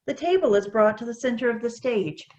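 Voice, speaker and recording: Casting: female narrator, slightly high voice, reading scripted stage directions. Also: expressive, fairly quick, highs cut off.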